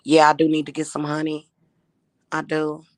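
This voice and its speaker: A woman in her thirties, a spiritual YouTuber talking casually to her viewers, her voice slightly hoarse and her talk aggrieved and repetitive. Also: low-pitched, completely flat delivery, normal speed, no room sound. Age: 30s. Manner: aggrieved and repetitive, with frequent fillers.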